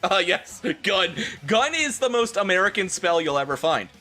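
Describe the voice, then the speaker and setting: Animated, high-pitched man streaming comedy. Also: moderate pace, soft background music. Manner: animated, theatrical, profane. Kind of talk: comedic live-stream commentary and dramatic reading.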